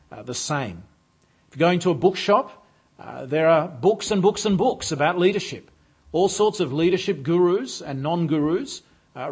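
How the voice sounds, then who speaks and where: Male preacher, slightly raised pitch, husky tone, measured delivery with filler pauses. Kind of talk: sermon. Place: church.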